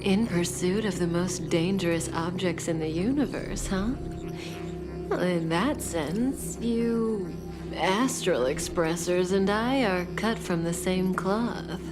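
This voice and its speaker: A slow-talking, coolly teasing outlaw woman. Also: fairly low pitch. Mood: sly, calm. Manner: unhurried, coolly amused, suave. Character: cool, teasing, mysterious female wanted criminal. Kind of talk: English video game voice acting. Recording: background music under the voice.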